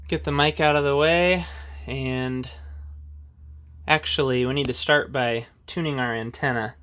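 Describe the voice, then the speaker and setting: A man's voice: medium pitch, fairly even intonation, measured pace, a bit bright. A man casually showing off his radio gear at his desk, thinking aloud with frequent "uh"s as he handles the equipment. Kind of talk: casual hands-on demonstration.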